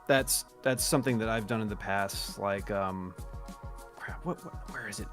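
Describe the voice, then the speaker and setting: A man's voice: lowish voice, normal speed, a bit bright, soft background music. A man hosting a casual chat about art and mindset, stopping often to restart and check his notes. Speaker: artist host interviewing a guest. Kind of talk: casual video call chat.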